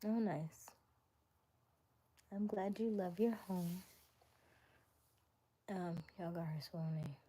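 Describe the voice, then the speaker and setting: Slow, playful woman chatting live. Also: fairly low pitch, husky. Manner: chatty and playful, laughs often, drops to soft whispers when reading comments. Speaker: livestream host. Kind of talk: casual live-stream chat with viewers.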